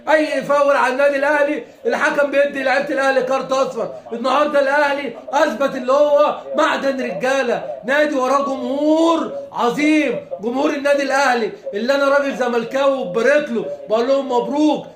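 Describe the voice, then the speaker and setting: A hoarse Egyptian man with a high voice rants fast and heatedly about football, laughing between his lines. His worn voice bounces around an echoey room. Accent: Egyptian Arabic. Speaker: football fan. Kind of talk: impassioned rant.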